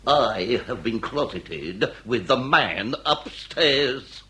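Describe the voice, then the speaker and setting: A man's voice: medium pitch, expressive, very slow, sharp tone, roomy sound, full character voice. An actor playing an irascible, blustering male police inspector in a British radio drama. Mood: grumpy. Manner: blustering, exasperated.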